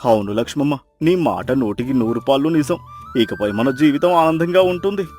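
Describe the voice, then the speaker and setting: A man's voice: mid-range voice, lively intonation, normal speed, darkish tone, soft background music, close to an everyday voice. A man playing a humbled, emotional former miser husband in a moral story, with earnest, dramatic delivery. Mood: grateful, resolved. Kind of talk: Telugu moral story character acting.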